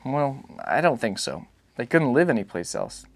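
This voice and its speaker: A man playing a weary, protective, gentle father in a dramatic audiobook reading, answering briefly, patiently and with some hesitation. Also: mid-range voice, very animated, moderate pace, no room sound, pitched above the performer's own voice.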